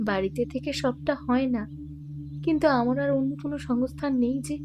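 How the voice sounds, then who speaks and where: Actress as grieving widowed mother, high voice, meek, hesitant, plaintive, audio drama, clearly noisy background. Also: normal speed, close to an everyday voice. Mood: ashamed, sad. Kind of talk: dramatised audio detective story.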